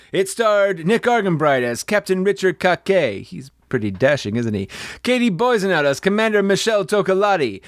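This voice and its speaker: A man's voice: sits high, no echo, clean studio sound. A man announcing the credits of a radio comedy show in a scripted read with playful asides. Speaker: announcer reading the show's credits.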